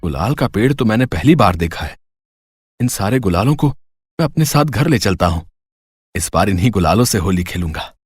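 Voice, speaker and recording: A man's voice: on the low side, expressive, brisk, breathy edge, dry recording. A man voicing a poor, earnest woodcutter in a Hindi moral story, speaking with wide-eyed expression. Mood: pleased. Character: poor, earnest, kindly young woodcutter husband. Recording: music behind the voice.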